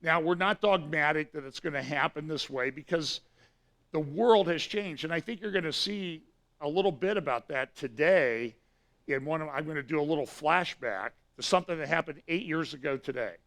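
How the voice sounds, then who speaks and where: Hoarse, raspy man giving a church talk. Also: a little high, normal speed, a bit bright. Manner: conversational, with frequent fillers and restarts. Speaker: pastor giving weekly prophecy update.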